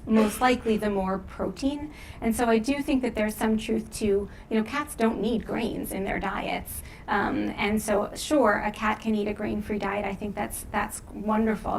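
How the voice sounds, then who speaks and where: A woman's voice: on the high side, slight background noise, rough recording. A woman veterinarian answering audience questions after a lecture in an informal, conversational and reassuring way. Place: live lecture event.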